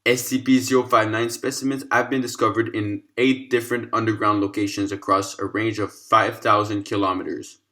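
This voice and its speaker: A man with a fairly low voice narrates a written case file. His delivery is formal and clinical, as scripted scientific narration. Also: flat delivery, fairly quick, hardly any pauses, pushed and bright.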